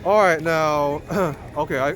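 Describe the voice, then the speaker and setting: A man's voice: a little high, unhurried, fairly bright tone, soft background music, poor audio. A man conducting an informal interview outdoors, asking questions in a chatty, improvised way. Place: outdoors in a city.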